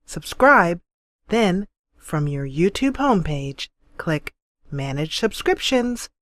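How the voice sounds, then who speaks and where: A woman's voice: fairly low pitch, sing-song, takes it slowly, fairly bright tone, dry recording. A woman hosting a let's play, chatting and thinking aloud as she roleplays through a game. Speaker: gaming channel host.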